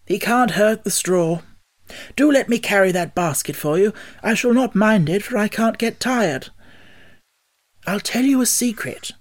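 A narrator voicing a friendly, naive straw scarecrow man in an audiobook, husky, polite and a little plaintive. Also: medium pitch, animated, unhurried, pitched above the performer's own voice, natural voice. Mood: friendly, confiding. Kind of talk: audiobook character voice.

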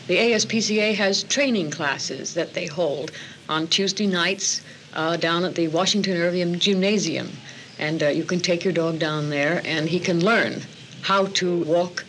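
A woman explaining something to a visitor in a patient, deliberate way. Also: lowish voice, a bit bright, slight background noise, rough recording. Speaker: animal shelter staffer.

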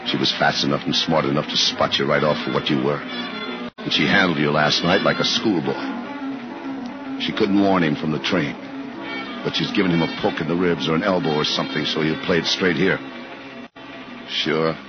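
A hard-boiled male detective in a fifties radio thriller. His very deep voice delivers terse, slangy lines. The recording is dull and muffled, with music clearly behind him. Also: steady tone, moderate pace, pauses often. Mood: brooding, stern. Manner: terse, clipped slangy talk. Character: hard-boiled detective, doubting and self-reproaching, tailing a suspect. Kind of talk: 1950s radio drama acting, noir narration.

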